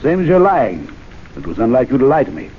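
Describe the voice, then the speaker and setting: An actor playing a pompous, hesitant gentleman club member in a 1970s radio drama. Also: mid-range voice, big pitch swings, brisk, natural voice. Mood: haughty. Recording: dull old radio broadcast, faint hiss.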